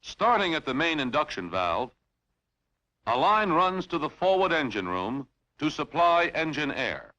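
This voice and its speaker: Guy doing training film narration, bright forceful voice, lively pitch, formal and measured. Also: highs cut off. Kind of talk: scripted instructional narration.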